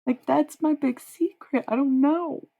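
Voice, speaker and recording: A woman's voice: sits high, unhurried, vocal fry, narrow, tinny audio. A young woman livestreaming, chatting with viewers in a rambling, dreamy way and swearing freely. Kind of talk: casual livestream chat with viewers.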